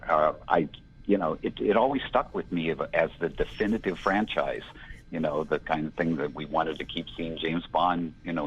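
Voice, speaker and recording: A man in his sixties with a gravelly, gruff voice, giving a rambling, reflective interview answer with lots of fillers. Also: extremely low pitch, slight background noise. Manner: rambling, reflective, many "you know" fillers. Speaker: interview guest, filmmaker. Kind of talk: casual conversational interview. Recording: dull lo-fi audio.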